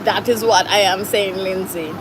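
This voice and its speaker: A woman's voice: medium pitch, fairly even intonation, normal speed, noisy background. Jamaican woman chatting on a livestream. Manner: chatty, reads viewer comments aloud, Jamaican Patois phrases. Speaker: livestream host. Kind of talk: casual livestream chat reading comments.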